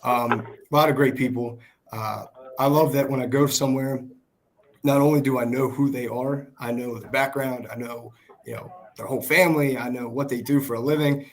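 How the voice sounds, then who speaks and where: A young American man speaks as a guest in a casual conversation. His voice is hoarse, worn from shouting, and sits at a middle pitch. He talks informally. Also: fairly even intonation, normal speed, rough recording. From Pennsylvania, United States. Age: 20s. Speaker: guest, small business worker. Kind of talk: casual chat. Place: video call.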